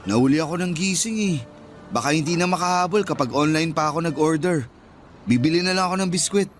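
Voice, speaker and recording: A male actor plays an easygoing, joking young man in a Filipino radio drama. His voice sits a little high and stays casual and friendly. Faint music runs behind him. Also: fast, close to an everyday voice. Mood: casual, teasing.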